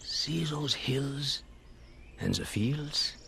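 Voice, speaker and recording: An actor playing a kindly, devout old French grape farmer with a French accent, speaking in a warm, coaxing way that often drops to a whisper, in a 1950s radio drama. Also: male voice, medium pitch, expressive, drawn-out words, vocal fry, exaggerated voice. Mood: gentle. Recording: hissy old radio recording.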